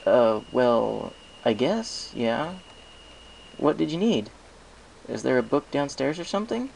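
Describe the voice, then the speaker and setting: A man voicing a hesitant, agreeable young male pony who speaks in a halting, unsure way. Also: medium pitch, very animated, vocal fry, a little mellow, a little hiss or hum, heavily acted, poor audio.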